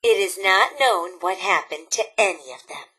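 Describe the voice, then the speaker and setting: Chirpy robot camp leader, video game, bright n a bit husky, super dramatic spooky storyteller, prim. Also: female voice, medium pitch, animated, moderate pace, full character voice, rough recording. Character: cheery robot scout leader telling spooky campfire tales. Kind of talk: theatrical campfire storytelling.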